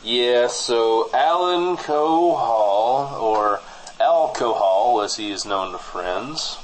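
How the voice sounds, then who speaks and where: A man playing a wry small-town nephew in improvised comedy banter, speaking hesitantly with dry, deadpan wit. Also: medium pitch, slow pace, a little hiss or hum, low-quality recording. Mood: wry, exasperated. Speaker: podcast co-host playing a character.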